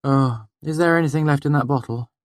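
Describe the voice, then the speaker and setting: A man's voice: on the high side, a little fast, no echo, voice pushed up, natural voice. A man voicing a wry young woman traveler. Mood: weary. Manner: dry, teasing.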